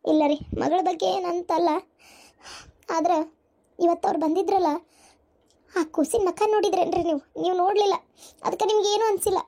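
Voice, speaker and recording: High-voiced, coaxing grandmother played by a woman. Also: steady tone, fairly quick, pauses often, mellow. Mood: coaxing, earnest. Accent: North Karnataka. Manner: coaxing, hesitant. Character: soft-hearted grandmother coaxing her stern husband. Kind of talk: cartoon family drama acting.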